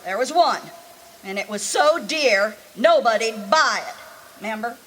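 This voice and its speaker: A woman's voice: a little high, lively intonation, measured pace, fairly bright tone. A woman playing a bossy but fond old country aunt, with folksy, scolding talk, in old-time radio drama style. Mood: insistent. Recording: live performance with background noise.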